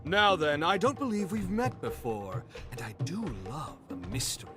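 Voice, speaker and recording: An actor playing a pompous, self-satisfied eccentric gentleman in a video game, with theatrical, florid and genteel delivery. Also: a little high, huge pitch swings, moderate pace, over music. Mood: smooth, ingratiating.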